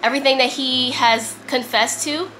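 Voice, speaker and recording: A woman's voice: sits high, fairly even intonation, normal speed, pushed and bright, a little hiss or hum. A woman vlogger chatting casually and emphatically to camera, with a slightly strained, congested voice from a lingering cough. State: congested, getting over a cough. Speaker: vlogger, host. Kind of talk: casual sit-down chat to camera, some reading aloud.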